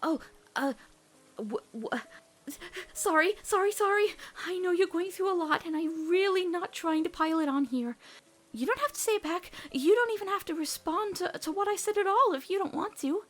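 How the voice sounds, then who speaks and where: A woman voicing an earnest, awkward teenage girl in an emotional cartoon comic dub, heartfelt and halting when nervous. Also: very high-pitched, animated, a bit bright. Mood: flustered, apologetic.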